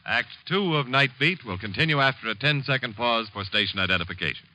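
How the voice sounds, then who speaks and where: A man's voice: medium pitch, expressive, fast talker, over loud music, poor audio. A man announcing on 1950s radio with an emphatic, polished sales delivery. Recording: old radio broadcast, faint noise.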